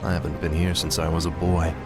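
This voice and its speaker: An actor playing a cold, arrogant human prince turned death knight in a fantasy video game, speaking in a haughty, menacing, declamatory way. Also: male voice, very deep voice, level tone, brisk. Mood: cold arrogance. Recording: loud music behind the voice.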